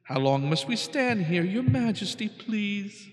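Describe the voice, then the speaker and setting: A man voicing a plain, humble little male turtle in a storybook reading, pleading softly. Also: sits high, very animated, unhurried, pitched above the performer's own voice. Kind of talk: storybook read-aloud. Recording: long hall reverb.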